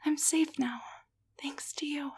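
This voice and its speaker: A woman playing a gentle, grateful young waitress turned into a tiny living doll, speaking softly and hesitantly in an intimate roleplay audio drama. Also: sits high, fairly even intonation, slow. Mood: sleepy, grateful. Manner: soft, breathy, hesitant, tender delivery.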